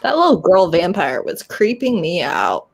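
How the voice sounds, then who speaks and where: A woman's voice: fairly low pitch, huge pitch swings, moderate pace, gravelly, husky. A woman hosting a casual live group chat about books, with a blunt, playfully teasing manner. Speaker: host of a live readalong.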